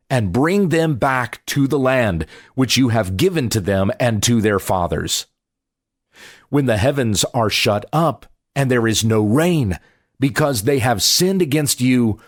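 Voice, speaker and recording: Expressive man reading scripture aloud, dry recording. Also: mid-range voice, no room sound.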